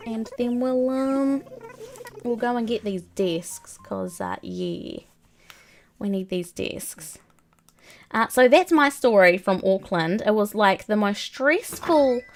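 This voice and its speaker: Slow-talking New woman with a cold. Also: fairly high pitch. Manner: rambling and chatty, full of ums and likes. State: has a cold, a bit sniffly. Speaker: live streamer. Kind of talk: casual chat while playing a video game.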